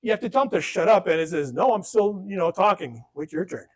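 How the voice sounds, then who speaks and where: A man leads a relaxed class on phone safety, his voice a bit on the high side. He talks off the cuff, tosses in jokes and acts out little exchanges with gadgets. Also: quick pace. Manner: conversational, joking asides, acts out dialogue. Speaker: workshop instructor. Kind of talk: informal classroom lesson.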